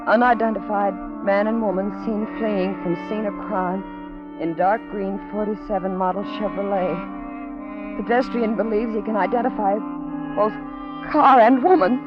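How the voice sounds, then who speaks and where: A woman's voice: mid-range voice, steady tone, normal speed, over music, natural voice. An actress playing a frightened young American woman caught up in crime, speaking with tense hesitation in a 1940s radio drama. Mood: shaken. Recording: dull lo-fi 1940s radio recording.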